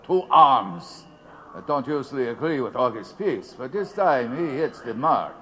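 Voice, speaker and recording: Audio drama: hearty, rallying German immigrant anarchist man, middle-pitched with very lively swings. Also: a little slow, slight background noise. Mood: militant.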